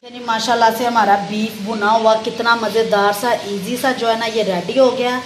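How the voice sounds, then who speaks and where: A woman's voice: on the high side, fairly even intonation, moderate pace, echoey room, noisy background. A woman hosting a home cooking channel, chatting politely through a recipe step by step and peppering her talk with blessings, recorded on a phone in her kitchen. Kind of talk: casual step-by-step recipe narration.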